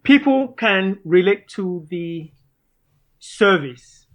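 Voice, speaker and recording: A man in his thirties from Cameroon, a startup founder, answering interview questions in a measured, earnest way. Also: high voice, lively intonation. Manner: measured, earnest, some restarts.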